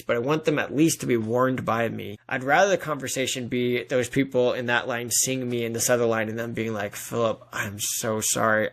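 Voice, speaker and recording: A man's voice: medium pitch, fairly bright tone. Earnest man speaking as a podcast guest. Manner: earnest exhortation, talks in analogies and imagined dialogue. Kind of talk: earnest testimony in a podcast interview.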